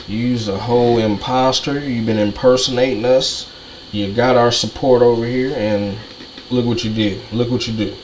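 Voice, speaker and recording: A man's voice: mid-range voice, fairly even intonation, moderate pace, a little mellow, rough recording. Ranting man with faint noise behind. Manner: ranting, repetitive, profane. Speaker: commentator. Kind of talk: heated monologue.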